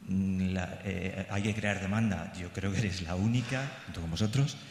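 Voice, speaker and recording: Low-voiced man in an echoey hall. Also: a little mellow. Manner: hesitant, halting, appreciative. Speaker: radio teacher, audience speaker. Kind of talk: informal remarks from the floor. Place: large indoor conference hall.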